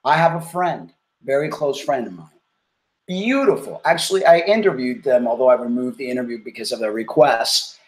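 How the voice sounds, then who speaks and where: Excitable middle-aged man co-hosting. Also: medium pitch, moderate pace, echoey room, poor audio. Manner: emphatic, excitable, many restarts. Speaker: co-host. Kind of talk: casual talk show chat. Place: small home kitchen, indoors.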